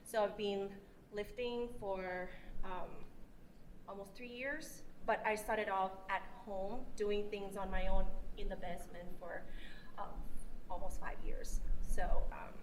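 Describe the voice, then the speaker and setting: A woman from Thailand with a Thai accent, a fitness athlete explaining casually in halting, hesitant phrases. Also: medium pitch, steady tone, noisy background. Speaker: fitness athlete being interviewed.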